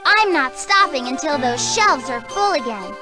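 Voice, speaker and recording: A woman's voice: sits high, animated, moderate pace, over music. A woman playing an eager, determined young detective leader in a cartoon game. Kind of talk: animated game cutscene acting.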